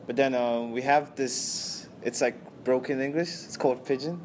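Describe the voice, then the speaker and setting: A young man from Nigeria, a university student answering interview questions in a relaxed, casual way, often checking in with "right?". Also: mid-range voice, moderate pace, a little hiss or hum. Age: young adult. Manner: relaxed, tags sentences with "right?".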